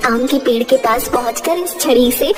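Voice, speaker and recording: A woman's voice: sits high, normal speed, in a large hall, lightly acted. A woman voicing a forest fairy in a children's cartoon, theatrical and emphatic, with music behind. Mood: approving, kindly. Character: female forest fairy, stern tester turned kindly guide. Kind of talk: children's cartoon story dub. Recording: music under the voice.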